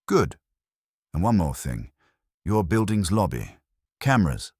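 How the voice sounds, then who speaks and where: A man's voice: low voice, sing-song, a little slow, a little mellow, breathy edge, dry recording, clean studio sound, pitched above the performer's own voice, full character voice. A man playing a confident, wisecracking private investigator's assistant in an audiobook, wry and punchy. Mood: wry, brisk.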